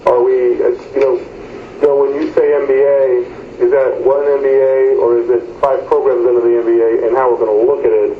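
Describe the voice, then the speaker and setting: A man's voice: medium pitch, flat delivery, a little fast. A man chairing a work discussion on a telephone conference call, informal as he steers the talk. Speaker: meeting chair. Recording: muffled phone conference line, echo, faint hiss.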